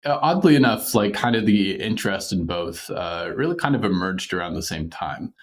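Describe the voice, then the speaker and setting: A man's voice: low-pitched, lively intonation, normal speed. A man giving reflective, conversational interview answers, peppering his speech with "like" and "sort of". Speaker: podcast creator being interviewed.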